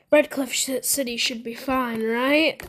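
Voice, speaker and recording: A woman doing casual gameplay commentary in a roleplay, talking to herself in a halting, stammering way. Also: high voice, a bit bright, slightly rough. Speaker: gamer playing a roleplay scenario.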